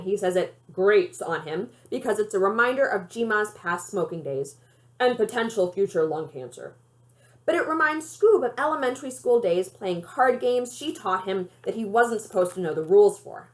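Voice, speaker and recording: A woman reading a children's novel aloud in an expressive storytelling style. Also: mid-range voice, moderate pace. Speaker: library read-aloud reader.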